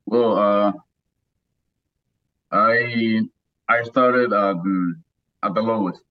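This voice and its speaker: A man from Cuba, a guest on a casual podcast, talking in a halting way with repeated words. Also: fairly low pitch, fairly even intonation, muffled recording. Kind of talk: casual interview.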